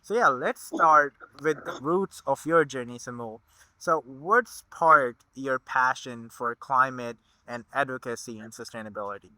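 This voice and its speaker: A man hosting a podcast interview, effusive and quick to exclaim his enthusiasm. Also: medium pitch, bright, forceful tone, no echo, poor audio. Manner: effusive, exclaims wow often. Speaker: podcast host.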